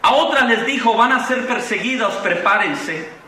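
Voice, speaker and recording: Mexican preacher in an echoing convention hall. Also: male voice, extremely high pitch, moderate pace, slight background noise. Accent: Mexican Spanish. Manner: impassioned preaching with rising exclamations, then relaxed storytelling. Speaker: pastor. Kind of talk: sermon. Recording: echoey hall from far off.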